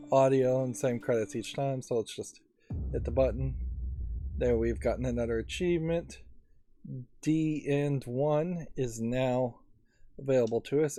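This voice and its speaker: Mellow man musing over a game. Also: mid-range voice. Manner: musing, analytical. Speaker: let's play host. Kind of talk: casual gameplay commentary. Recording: faint game music behind.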